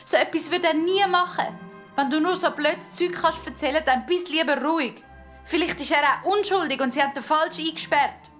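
A woman's voice: sits high, normal speed, a bit bright, soft background music, voice pushed up. A woman voicing an earnest, defensive young girl with a Swiss German accent in a children's audio story. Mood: indignant.

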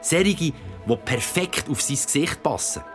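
A man's voice: a little high, animated, unhurried. A man with a Swiss German accent playing a father who tells his child a creepy bedtime story, theatrical and suspenseful. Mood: mysterious, narrating. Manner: theatrical, suspenseful, sometimes whispering. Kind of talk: audio drama storytelling. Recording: music behind the voice. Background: music.

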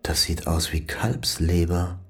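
Wounded man's inner voice, low, hushed, detached, eerie, radio drama, music underneath. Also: slow, gravelly, full character voice. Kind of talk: audio drama acting.

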